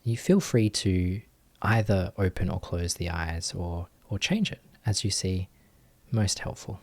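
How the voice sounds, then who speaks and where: A man leading a guided meditation as a teacher, speaking gently and softly in short phrases with long pauses. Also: low-pitched, fairly even intonation.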